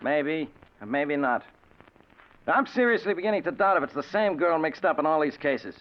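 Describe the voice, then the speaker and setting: An actor plays a skeptical male police captain with a raised, very animated pitch. He is exasperated and challenging, pressing each point emphatically, on a muffled 1940s radio drama recording. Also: moderate pace, natural voice. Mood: doubtful.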